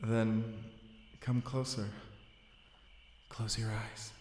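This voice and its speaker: Man playing a low-voiced, tender vampire slayer. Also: little pitch movement, very slow, darkish tone, slight background noise. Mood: hesitant, yearning. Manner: speaks closely to one listener, teasing and soothing. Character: young male Spanish vampire slayer, teasing, tender protector. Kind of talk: cinematic audio roleplay acting, intimate.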